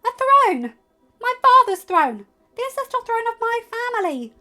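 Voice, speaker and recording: A woman voicing a fiery, proud young royal woman in a fantasy story, loud, imperious and indignant. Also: very high voice, big pitch swings, moderate pace, pitched above the performer's own voice, exaggerated voice. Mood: furious, roaring. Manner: loud, imperious, roaring outbursts.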